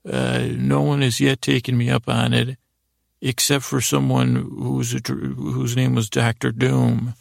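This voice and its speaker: A man improvising a rambling, whimsical bedtime monologue as a host, halting and stammering, often repeating his words. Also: mid-range voice, flat delivery, gravelly, no echo, clean studio sound. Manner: whimsical, lightheartedly silly. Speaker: podcast host telling bedtime stories.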